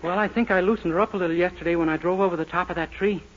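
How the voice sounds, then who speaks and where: An actor playing a naive, boastful, earnest young small-town cab driver in a 1940s radio sitcom. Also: male voice, high voice, steady tone, rapid-fire, close to an everyday voice. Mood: cheerful boasting. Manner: eager, guileless, cheerfully self-assured. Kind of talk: 1940s radio situation comedy acting. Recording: noisy, dull 1940s radio recording.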